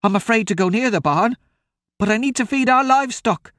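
A man voicing a frightened old farm woman who pleads in a shrill, breathless way. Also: expressive, a little fast, fairly bright tone, no echo, clean studio sound. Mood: frightened pleading.

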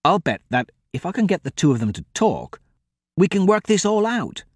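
A man's voice: sits high, very animated, normal speed, slightly rough, no echo, voice pushed up, heavily acted. A man voicing a good-natured young male swordsman who talks in an upbeat, reasoning way. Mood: easygoing upbeat.

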